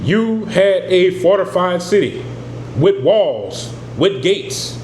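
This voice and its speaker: A man's voice: high voice, big pitch swings, takes it slowly, a little mellow. A man teaching scripture, reading verses aloud and then explaining them in plain, emphatic talk. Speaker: preacher. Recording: echoey room with air conditioning hum.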